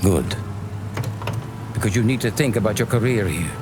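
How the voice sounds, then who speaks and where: A man's voice: fairly low pitch, lively intonation, normal speed, over music, natural voice. An actor playing a seasoned, middle-aged mob consigliere in a game, lecturing bluntly like a stern father. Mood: stern, mentoring. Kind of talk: cinematic game dialogue.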